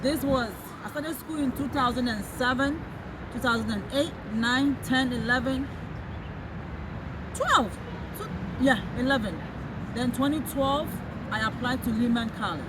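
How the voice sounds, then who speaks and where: A woman's voice: high voice, measured pace. A woman from Ghana in her thirties with a Ghanaian accent, a nurse giving earnest advice in a casual street interview, repeating her points. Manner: advising, earnest, repeats phrases. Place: city street outdoors. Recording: loud street noise. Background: loud traffic.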